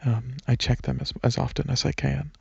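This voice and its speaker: A man speaking softly and breathily in a relaxed, hesitant ASMR ramble, partly whispering, into a microphone held close. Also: mid-range voice, a little fast, creaky, breathy edge, no echo, lo-fi sound. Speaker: ASMR video creator. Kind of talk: casual rambling ASMR, softly spoken to listeners. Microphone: microphone held close at chest, leaning back.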